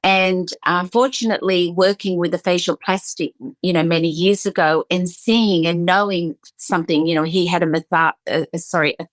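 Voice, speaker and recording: A woman's voice: lowish voice, fairly even intonation, normal speed, few pauses, dry recording. A woman esthetician hosting a podcast, talking with emphatic passion and doubling up words as she presses her points. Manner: emphatic, fired-up, repeats words when stressing a point.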